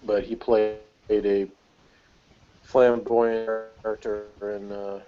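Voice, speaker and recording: A man's voice: on the low side, flat delivery, slow, warm tone, soft background music. A man chatting casually on a review panel over a video call, with an amused, digressive, trivia-minded way of talking. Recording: dull lo-fi video call audio.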